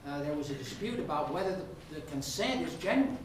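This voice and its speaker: A man who is a law professor speaking in a debate, laying out his case deliberately in short rhetorical phrases. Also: on the high side, animated. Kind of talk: spoken legal debate. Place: indoor room at a law school event. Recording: very echoey room, distant mic, faint noise.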